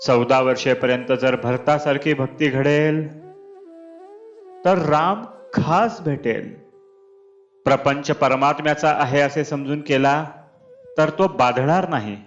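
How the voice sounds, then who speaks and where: A man reads a scripted spiritual discourse aloud in measured, deliberate phrases. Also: a little high, dull audio. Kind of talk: scripted devotional discourse reading. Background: clear background music.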